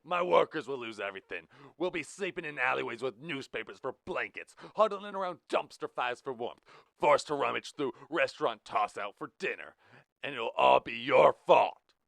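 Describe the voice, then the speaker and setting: A menacing prison warden barks and taunts in a loud, raised voice with a drawl, acted by a man reading a story. The tone is bright and forceful, recorded dry and close. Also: mid-range voice, animated, moderate pace, dry recording, pitched above the performer's own voice. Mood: furious.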